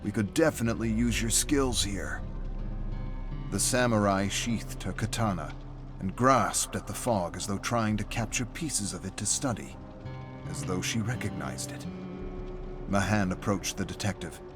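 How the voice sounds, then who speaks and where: A man's voice: lowish voice, normal speed. A man reading scripted story narration in a dramatic style. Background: music.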